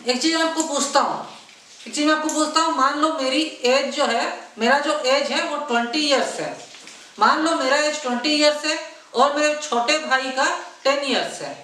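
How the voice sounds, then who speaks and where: A man's voice: very high voice, bright, forceful tone. A man teaching an exam-prep math lesson, repeating himself, asking rhetorical questions and mixing in English math terms. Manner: repetitive, keeps addressing viewers as friends; mixes English math terms into his talk. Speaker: math tutor. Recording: very echoey room, voice far from the mic.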